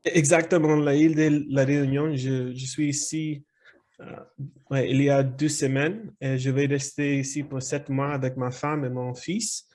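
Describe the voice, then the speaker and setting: A man's voice: medium pitch, little pitch movement, moderate pace, darkish tone. A man who is not a native speaker introducing himself on a video call, searching for words with polite hesitation. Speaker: new club member introducing himself. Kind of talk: hesitant spoken self-introduction.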